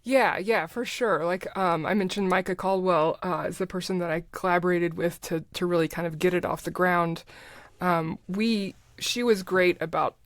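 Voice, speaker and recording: A woman's voice: fairly low pitch, steady tone, normal speed, dry recording, studio quality. A middle-aged woman talking casually as a podcast guest, explaining things in a conversational way. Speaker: podcast guest, writer and producer.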